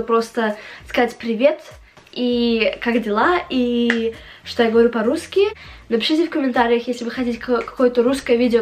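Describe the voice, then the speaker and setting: A young woman from Latvia speaking with an English-influenced accent, chatting casually to the camera as a vlogger and haltingly searching for words. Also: a little high. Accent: English-influenced Russian. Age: young adult. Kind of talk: casual chat to camera, answering viewer questions. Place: small home room, indoors.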